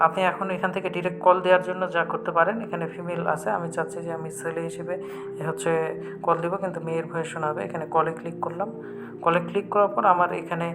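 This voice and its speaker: Fast, even-toned Bangladeshi man presenting a tutorial. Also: fairly high pitch. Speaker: tech tutorial host. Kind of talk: step-by-step app tutorial narration. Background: background music.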